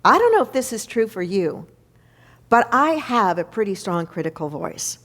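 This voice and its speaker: A woman minister delivering a reflective spiritual sermon, slowing down to stress key words. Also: mid-range voice, very animated, fairly bright tone. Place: church sanctuary.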